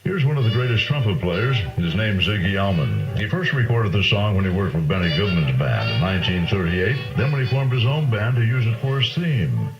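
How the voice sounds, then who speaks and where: A man's voice: fairly low pitch, sing-song, fairly quick, hardly any pauses. An American man presenting a music show as a radio DJ, heard through an old radio set. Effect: played through an old radio set. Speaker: radio host of a big band music show. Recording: muffled sound from old AM radio speaker.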